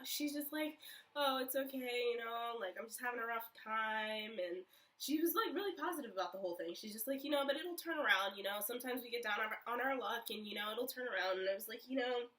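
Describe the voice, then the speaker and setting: A woman's voice: fairly high pitch, bright, forceful tone, distant mic. A young woman telling a story in a casual vlog, chatty and rambling with lots of fillers. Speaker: vlogger.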